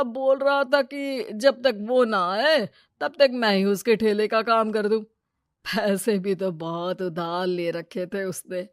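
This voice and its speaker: A woman's voice: mid-range voice, no echo, studio quality. A woman voicing a greedy, scheming male moneylender in a village moral story cartoon, brusque and bossy. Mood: sly.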